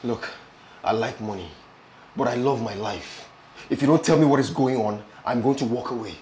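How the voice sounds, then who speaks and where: A man's voice: medium pitch, moderate pace, darkish tone, roomy sound, slight background noise, close to an everyday voice. An actor playing an indignant, injured man in a drama, emphatic and repeating his complaints. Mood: exasperated. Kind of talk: film drama dialogue with comic exasperation.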